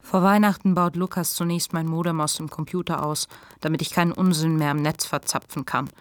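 A woman acting in a radio play as the lonely, questioning inner voice of a psychiatric patient, measured and literary. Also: sits low, steady tone, lightly acted. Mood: reflective. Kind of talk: scripted radio play narration. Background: music.

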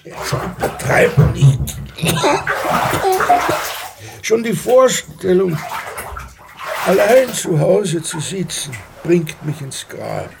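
Gravelly, weary old police detective. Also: male voice, sits high, lively intonation, extremely slow, pauses often, background noise, exaggerated voice. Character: weary 61-year-old male police detective, stubborn, defensive. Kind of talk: naturalistic radio drama dialogue.

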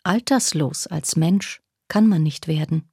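A woman's voice: low voice, unhurried, darkish tone, slightly rough, no room sound, studio quality. A woman reciting literary verse as a reader of quotations.

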